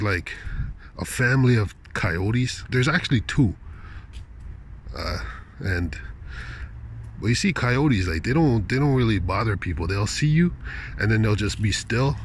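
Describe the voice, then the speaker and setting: A man's voice: fairly low pitch, slightly rough. A man chatting in a laid-back, rambling, slangy way as he vlogs on a walk outdoors. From Canada. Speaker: vlogger. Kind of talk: casual rambling vlog chat. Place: outdoors, walking in wilderness at night.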